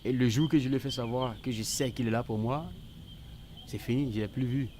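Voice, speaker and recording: A man's voice: mid-range voice, fairly even intonation, a little fast, dark tone. A middle-aged man giving candid, reflective personal testimony in a conversational way, with birdsong and noise around him. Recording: clear outdoor noise with birdsong. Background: birdsong and ambience.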